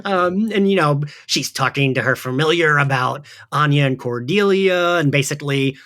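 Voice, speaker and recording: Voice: mid-range voice, normal speed, fairly bright tone. A podcast host in casual recap chat, giggly, peppering sentences with "like". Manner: giggly, frequent filler "like".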